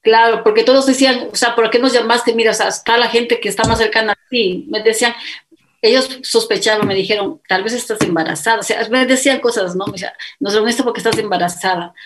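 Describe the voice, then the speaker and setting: A woman's voice: a little high, quick pace. Ecuadorian woman telling her story. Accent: Ecuadorian Spanish. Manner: animated, quotes others in dialogue, many fillers. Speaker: guest. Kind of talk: casual interview storytelling.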